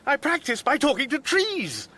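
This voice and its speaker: A man's voice: extremely high pitch, huge pitch swings, normal speed, sharp tone, full character voice. A man acting a bewildered, half-mad, sardonic castaway in a radio comedy, dithering and querulous. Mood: flustered. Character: bewildered, half-mad, sardonic stranded Englishman in a dressing gown.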